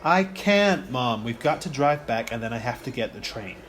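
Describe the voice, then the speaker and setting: An amateur actor playing an awkward, placating college student son in a radio play, hesitant, plain-spoken and apologetic. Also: male voice, mid-range voice, animated, moderate pace, a bit bright, a little hiss or hum, natural voice.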